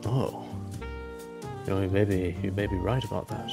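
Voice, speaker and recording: A man puts on a bookish bugbear academic for a tabletop game. The voice is low and creaky, with a halting, courteous, scholarly delivery. Music plays clearly behind it. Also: steady tone. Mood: doubtful. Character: absorbed, hesitant bugbear anthropologist, a bookish man.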